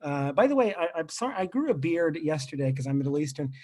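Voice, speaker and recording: A man's voice: on the high side, fast talker. A man in his thirties, born in Lebanon, doing a self-deprecating stand-up set over a video call from a rented apartment. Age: 30s. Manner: deadpan, self-deprecating, chatty asides. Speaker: stand-up comedian.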